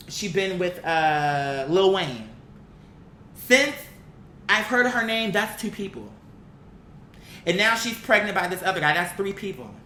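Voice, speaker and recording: Blunt, indignant woman with a bright, forceful voice. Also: mid-range voice, slight background noise. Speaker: online commentator reacting to viewer comments. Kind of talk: casual opinionated rant, reading comments aloud. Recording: echoey, roomy sound.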